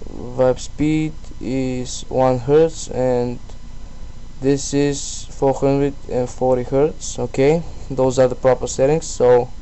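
A man presenting a casual screen-recorded software tutorial in a halting, self-deprecating way. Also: medium pitch, rough recording. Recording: steady background noise on voice-over.

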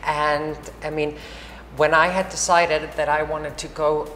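Low-voiced woman from Iceland, talkative. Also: level tone, measured pace, a bit bright, a little hiss or hum. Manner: chatty, many fillers and restarts. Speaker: interviewee. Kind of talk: casual interview. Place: large bright hall with wood screens. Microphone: lapel mic. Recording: roomy hall echo, faint ambience.

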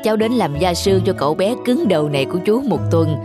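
A man playing a stern but kindly middle-aged father in an animated moral tale, speaking in a measured, instructive way over music. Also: sits high. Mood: firm, kindly. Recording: music under the voice.

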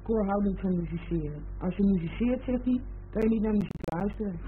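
A woman playing a stubborn, blunt young daughter in a radio drama, curt and quick to needle. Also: medium pitch, lightly acted. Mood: needling. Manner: curt, contrary, direct. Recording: muffled narrowband old radio recording, faint noise.

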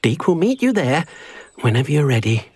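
An actor playing a gentle, earnest, small elderly house-elf servant in a video game, who speaks of himself in the third person. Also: male voice, a little high, big pitch swings, fairly quick, husky. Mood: helpful. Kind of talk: scripted video game character acting.

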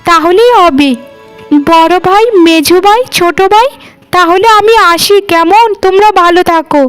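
A woman's voice: very high-pitched, moderate pace, faint music behind. A woman voicing a haughty, bossy, pampered young bride in a cartoon, with an imperious, complaining way of talking. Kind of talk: animated cartoon dialogue.

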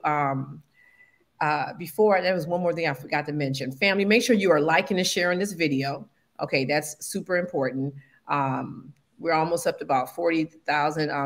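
A woman in her forties hosting a casual livestream interview over a video call from home, chatty and animated, addressing viewers as family. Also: lowish voice. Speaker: host. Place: home room with plants. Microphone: video call webcam.

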